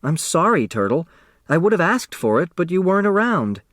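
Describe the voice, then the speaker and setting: A man voicing a gentle, anxious, apologetic young woman in an audiobook. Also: high voice, big pitch swings, moderate pace, dry recording, studio quality, pitched above the performer's own voice. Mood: hurt, apologetic.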